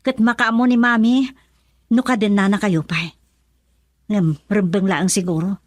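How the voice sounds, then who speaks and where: A hurt, reproachful grown daughter in a radio drama, acted by a woman. Her pitch is average for a woman and her delivery is emphatic. The sound is close and dry. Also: fairly even intonation, measured pace, no room sound, lightly acted. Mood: hurt, bitter. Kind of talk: Ilocano radio drama acting.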